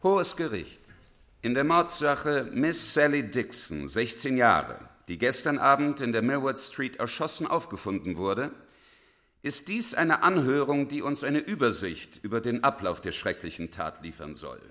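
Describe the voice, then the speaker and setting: An actor playing a formal Victorian public prosecutor in a German radio drama, declaiming and probing with theatrical authority. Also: male voice, medium pitch, very animated, a little slow, a bit bright. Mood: solemn, declamatory.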